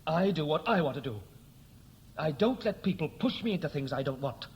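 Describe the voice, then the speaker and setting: Defiant son, hissy old recording. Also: male voice, fairly high pitch, expressive, fairly quick. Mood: exasperated, blunt. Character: defiant, independent adult son back from America, wounded. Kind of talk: 1960s radio play acting. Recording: hissy 1960s radio recording.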